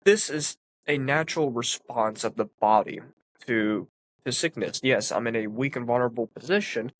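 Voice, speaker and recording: A man's voice: mid-range voice, moderate pace. A man with a congested, sniffly voice, reading a philosophy text aloud and explaining it in an earnest way with emphatic stresses. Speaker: philosophy commentator.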